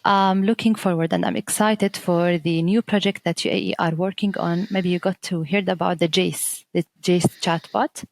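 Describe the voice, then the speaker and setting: Woman, arabic first language, lowish gravelly voice, hesitant with lots of uhs, guest on a podcast. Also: steady tone, moderate pace, few pauses, no room sound. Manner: hesitant, with many "uh"s and restarts. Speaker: guest, teacher trainer. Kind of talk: interview answers.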